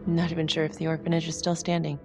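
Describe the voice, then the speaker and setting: An actress playing a guarded, determined prosecutor in a crime thriller game, speaking in a dry, measured way. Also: female voice, sits low, completely flat delivery. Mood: dry, skeptical. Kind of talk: naturalistic dramatic game acting. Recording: background music under the voice.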